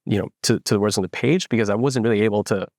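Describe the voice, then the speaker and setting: A young man from the Philippines, a startup founder, telling his story in a relaxed interview with lots of fillers and restarts. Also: lowish voice, very fast, no room sound, clean studio sound. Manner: reflective, many fillers and restarts. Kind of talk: casual interview.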